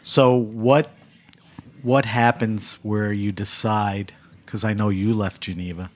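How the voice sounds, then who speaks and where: A man hosting a wine interview show, brisk and friendly as he prompts his guest. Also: fairly low pitch, steady tone. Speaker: wine podcast host. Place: radio studio.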